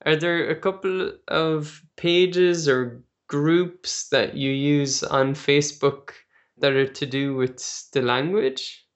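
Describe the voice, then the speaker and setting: An Irish man hosting a podcast, asking questions in a relaxed, unhurried way with frequent hesitations. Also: a little high. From Ireland. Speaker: podcast host. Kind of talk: casual interview chat.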